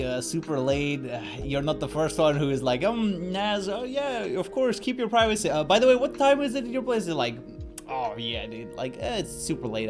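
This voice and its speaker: A man guesting on a casual chat over a voice call, bantering and swearing as he tells stories. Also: on the high side. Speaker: guest streamer. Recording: voice call with lofi music behind. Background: lofi music.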